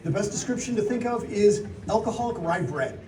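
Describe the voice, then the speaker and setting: Soft-voiced, high-pitched man presenting in echoey space. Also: lively intonation, fairly quick. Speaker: cocktail contestant. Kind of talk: live demonstration to an audience. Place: live contest stage with audience. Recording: echoey distant mic, crowd chatter behind.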